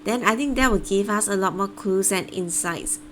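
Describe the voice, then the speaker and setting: A woman with a mid-pitched voice gives casual commentary while streaming a game. She muses aloud and reacts to what happens. There is noticeable noise behind her. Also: normal speed, a bit bright. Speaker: live streamer playing a game.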